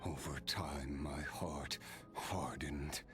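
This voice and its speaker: An actor playing an ancient cursed nobleman swordsman in a game, telling his story in a halting, weary, measured way. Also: very deep voice, fairly even intonation, music in the background. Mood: wistful, somber. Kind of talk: dramatic game dialogue, slow storytelling.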